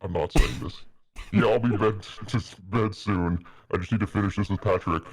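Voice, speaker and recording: A man's voice: on the low side, steady tone, moderate pace. A man reading fan fiction aloud on a stream, mock-earnest and melodramatic, with a casual style. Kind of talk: dramatic narration with casual asides.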